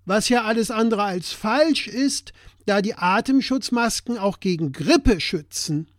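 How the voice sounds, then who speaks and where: A man's voice: high-pitched, lively intonation, unhurried, no room sound, studio quality. A man acting as a concerned citizen in a scripted satirical dialogue about current affairs. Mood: matter-of-fact. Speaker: audio play dialogue speaker.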